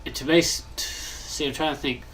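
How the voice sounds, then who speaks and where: A man's voice: on the high side, fairly even intonation, normal speed, fairly bright tone, a little hiss or hum, poor audio. Congested young man musing over a build. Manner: rambling, thinking aloud, frequent coughs and throat clearing. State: congested, nasal. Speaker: gaming channel host. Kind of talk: casual commentary while building in a game.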